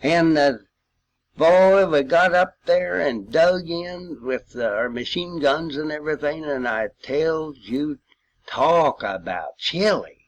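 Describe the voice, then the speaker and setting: A man's voice: fairly high pitch, slow pace, poor audio. An elderly man, a war veteran reminiscing informally in a folksy, rambling way with old-time expressions. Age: 80s or older. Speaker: World War II veteran sharing memories.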